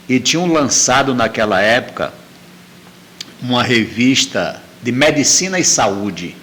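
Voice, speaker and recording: A man's voice: mid-range voice, fairly bright tone, a little hiss or hum. A middle-aged man telling a personal story as an interview guest, repeating phrases for emphasis.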